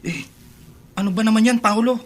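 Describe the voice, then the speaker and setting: High-pitched, impatient young sailor. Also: male voice, a little fast. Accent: Filipino. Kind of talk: Filipino horror radio drama acting.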